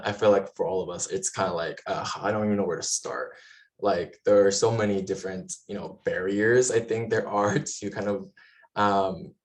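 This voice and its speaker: Low-voiced man speaking casually on a panel. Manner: hesitant phrasing with frequent hedging. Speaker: panelist, violence prevention advocate. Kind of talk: informal panel discussion.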